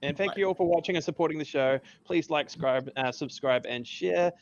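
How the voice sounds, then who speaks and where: A man's voice: fairly high pitch, fairly quick, lo-fi sound. A man hosting a casual podcast chat, upbeat and laughing often, calling his guests buddy. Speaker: podcast host.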